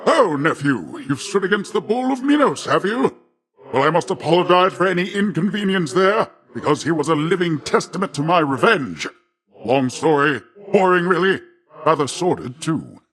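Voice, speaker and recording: An actor playing a boastful, jovial but touchy sea god uncle in a video game, with a pompous, theatrical delivery. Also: male voice, on the high side, big pitch swings, normal speed, fairly bright tone. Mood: hearty, boastful.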